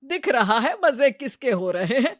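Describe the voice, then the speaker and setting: A woman's voice: a little high, big pitch swings, unhurried, no room sound, clean studio sound. Sarcastic grumbling mother-in-law. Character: grumbling older mother-in-law, sharp-tongued.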